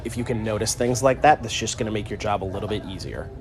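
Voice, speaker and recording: A man co-hosting a casual live stream from inside a pharmacy, explaining the work in a relaxed, rambling way with lots of fillers. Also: on the low side, quick pace. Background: store music.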